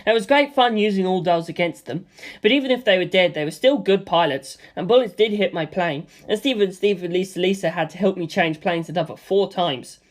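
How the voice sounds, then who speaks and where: A man narrating a first-person action story as an amateur audiobook reader, in an animated style. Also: sits high, fairly quick.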